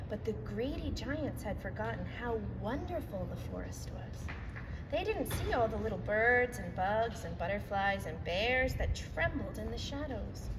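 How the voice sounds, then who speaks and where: A woman reading a children's storybook aloud in an expressive narrating style, with deliberate, dramatic phrasing. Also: mid-range voice. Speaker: storybook reader. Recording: echoey hall, distant mic, clear noise.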